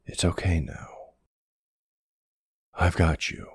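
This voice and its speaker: Man acting as older ex-military boyfriend, very low husky voice, slow and unhurried, tender, teasing, protective, ASMR roleplay. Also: steady tone, full character voice. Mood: soothing, protective. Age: middle-aged or older character. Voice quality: husky, gravelly. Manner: affectionate teasing, hesitant pauses, soft reassurance.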